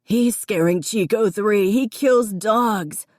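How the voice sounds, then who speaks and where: A woman voicing a distraught grown man who yells and wails at an intruder. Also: mid-range voice, takes it slowly, no room sound, studio quality, pitched above the performer's own voice. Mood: yelling. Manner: yelling, raised and strained.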